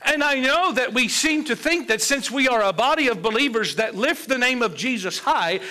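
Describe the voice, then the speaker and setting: A middle-aged man preaching a sermon from a church pulpit, building up points with pauses and moving around as he speaks. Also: very high voice, sharp tone. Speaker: pastor. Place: church sanctuary with a colorful children's stage set.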